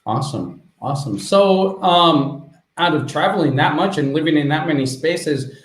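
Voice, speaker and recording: A man hosting a casual interview as an English teacher, encouraging and quick to laugh. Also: a little high, echoey room, low-quality recording.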